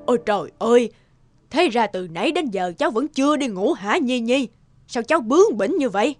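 A woman's voice: on the high side, expressive, a little fast. A woman voicing an old grandmother in a cartoon, stern yet doting, given to scolding and exclaiming. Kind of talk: cartoon voice acting. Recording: music behind the voice.

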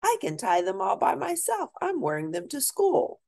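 A woman's voice: medium pitch, huge pitch swings, normal speed, vocal fry, darkish tone, voice pushed up, exaggerated voice. A woman voicing a proud father boasting about new sneakers like a child.

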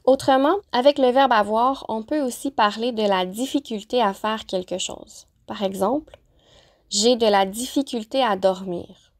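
A woman teacher narrating a lesson, pronouncing example phrases slowly and carefully. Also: a little high. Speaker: French-as-a-second-language teacher. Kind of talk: clear, deliberate vocabulary lesson narration.

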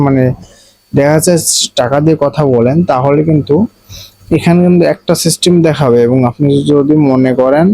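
A man's voice: a little high, dark tone, no echo, rough recording. A man with a Bangladeshi accent narrating a casual step-by-step phone tutorial, explaining repetitively and addressing viewers directly. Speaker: tech tutorial host.

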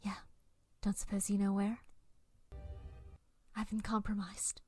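A woman's voice: mid-range voice, monotone, unhurried, mellow, slightly rough, faint music behind. A woman in a fan dub playing a cool, guarded, wry super-spy agent. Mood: low, earnest. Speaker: amateur voice actor in a fan dub. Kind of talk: fan dub film acting.

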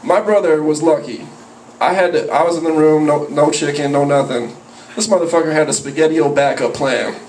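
A young man doing profane open mic stand-up, rambling with a slightly high voice. It is caught by a far camera in a small cafe, so it sounds roomy and distant, with clear room noise behind it. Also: brisk, a little mellow. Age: young adult. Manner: profane, rambling, jumps into yelped character voices. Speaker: stand-up comedian. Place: small cafe with a live audience. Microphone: handheld mic, filmed from a far camera. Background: audience laughter.

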